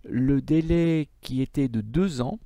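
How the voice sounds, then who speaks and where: Man, schoolteacher, didactic lecture delivery, dark relaxed timbre, creaky, emphatic on key points. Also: medium pitch, slow pace, no echo, studio quality. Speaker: middle school civics teacher. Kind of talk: recorded lecture for students.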